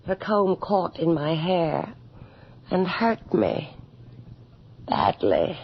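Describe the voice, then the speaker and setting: A woman's voice: on the low side, big pitch swings, slightly rough, exaggerated voice. An actress playing a vain, cruel, passionately devoted noblewoman in a theatrical 1980s radio horror drama. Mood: cold, silky. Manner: theatrical, intense, drawn-out delivery. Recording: muffled old radio drama with hiss.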